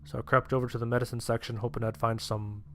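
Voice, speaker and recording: A man's voice: medium pitch, little pitch movement, fast, a little hiss or hum, close to an everyday voice. A man playing a sheltered, anxious young survivor, narrating his story in the first person in a plain-spoken way. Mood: narrating, sheepish. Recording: music behind the voice.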